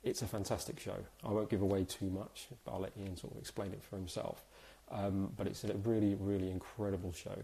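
Hoarse, upbeat man hosting a live stream. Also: low-pitched, flat delivery, fairly quick, hardly any pauses, mellow. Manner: chatty, upbeat, many fillers. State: hoarse from a cold. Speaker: host. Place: indoors, small room.

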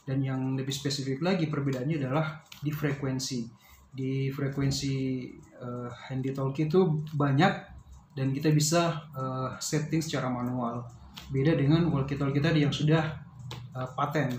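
A man's voice: mid-range voice, fairly even intonation, a little mellow. Male vlogger, casual unscripted explainer, hesitant delivery, echoey room with slight noise. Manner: hesitant, pausing to search for words. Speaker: vlogger reviewing a product. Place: small bare-walled room indoors. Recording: roomy echo, faint background noise.

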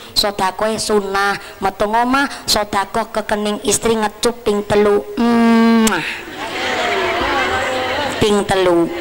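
Slow-paced woman preacher with Javanese accent. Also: medium pitch, a little hiss or hum. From Central Java, Indonesia. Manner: teasing, folksy. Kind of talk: lively sermon mixing teaching with humour. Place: live religious gathering.

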